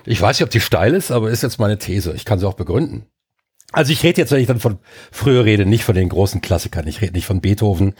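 A man's voice: low voice, dark tone, breathy edge. An older man and podcast co-host with a stuffy nose from a cold, ranting emphatically in casual chat with many fillers.

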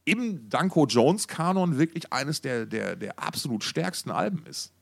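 A man's voice: medium pitch, big pitch swings, moderate pace, vocal fry. A man co-hosting a podcast in casual, slangy, enthusiastic music talk, often repeating words as he searches for phrasing. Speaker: podcast co-host.

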